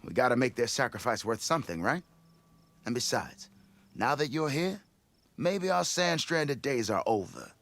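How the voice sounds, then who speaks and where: A man's voice: medium pitch, sing-song, normal speed, a bit bright, dry recording. An actor playing a blustering, self-important expedition leader in a video game, with a theatrical, wheedling salesman's patter. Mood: persuasive. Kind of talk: video game cutscene dialogue.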